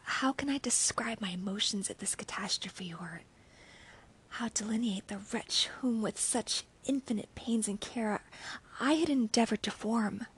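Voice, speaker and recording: A woman reading a gothic novel aloud as a dramatic narrator, with an intense, theatrical and often hushed, breathy delivery. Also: a little slow, low-quality recording. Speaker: audiobook reader. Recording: rumbly background noise.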